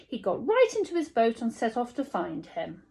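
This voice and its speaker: A woman's voice: fairly high pitch, huge pitch swings, normal speed, vocal fry, darkish tone, a little hiss or hum. A woman reading a children's storybook aloud expressively, like a teacher telling a story to small children.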